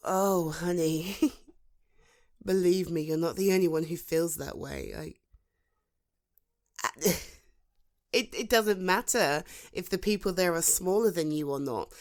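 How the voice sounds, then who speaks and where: A British woman playing a protective, supportive female friend in a conversational ASMR roleplay, soothing and encouraging, with thoughtful pauses. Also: on the low side. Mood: earnest, encouraging. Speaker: voice actor in an audio roleplay. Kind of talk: ASMR roleplay, conversational one-sided dialogue.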